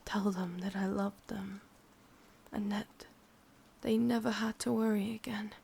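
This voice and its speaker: A woman with an English accent voicing an ancient, lonely, lovesick witch in a breathy, husky whisper for an ASMR roleplay. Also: mid-range voice, fairly even intonation, a little mellow, full character voice. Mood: melancholy, yearning. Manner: slow, brooding, intimate delivery.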